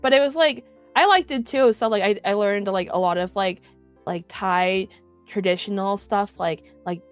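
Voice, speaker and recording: A woman's voice: medium pitch, normal speed, soft background music. A young woman chatting casually, rambling with lots of "like". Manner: rambling, many fillers and restarts. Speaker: vtuber. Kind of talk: casual chat.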